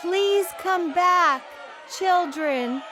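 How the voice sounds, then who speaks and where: A woman voicing distraught storybook townspeople pleading for their lost children in drawn-out cries. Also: extremely high pitch, crowd noise, noisy background, voice pushed up, exaggerated voice. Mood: despairing pleading.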